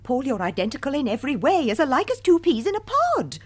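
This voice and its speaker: An actress playing a testy, respectable British wife in a radio comedy drama, speaking in an arch, precise, wry manner. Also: female voice, sits high, huge pitch swings, quick pace. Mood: wry, strained. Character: testy, respectable, long-married middle-class wife.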